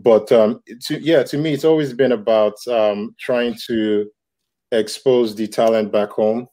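Man from Nigeria, thirties, lowish mellow voice, reflective, lots of ums. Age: 30s. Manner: reflective, many fillers and restarts. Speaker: comic book creator. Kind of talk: casual interview talk.

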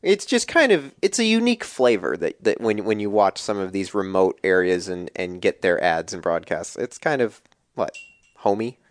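A man's voice: lowish voice, big pitch swings, normal speed, dry recording, studio quality. A man co-hosting a casual sports podcast, rambling and joking with plenty of restarts. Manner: rambling, jokey, lots of restarts. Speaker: podcast co-host.

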